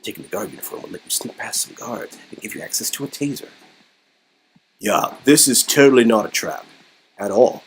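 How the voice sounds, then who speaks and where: A man's voice: fairly low pitch, lively intonation, fairly quick, a little hiss or hum, low-quality recording. A man reading a story game aloud as host, playfully dramatizing it and breaking off into joking commentary. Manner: playful, riffing, swings into exclamations and mock voices.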